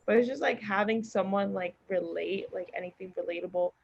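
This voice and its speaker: A woman speaks as a podcast guest in a casual, earnest and supportive way. Her mid-pitched voice comes through a slightly dull, lo-fi remote recording. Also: fairly quick, creaky, slight background noise. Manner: encouraging, earnest. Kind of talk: casual chat. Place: remote group call.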